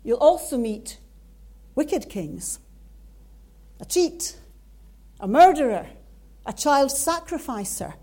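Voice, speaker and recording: Slow, mellow Scottish woman preaching. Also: high voice, sing-song. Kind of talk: sermon.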